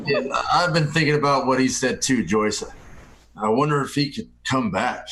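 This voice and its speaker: A man's voice: mid-range voice, fairly bright tone, lightly acted, low-quality recording. A man playing a nervous, hesitant man drawn to the supernatural in an old-time radio play. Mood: uneasy, pondering. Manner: hesitant, halting.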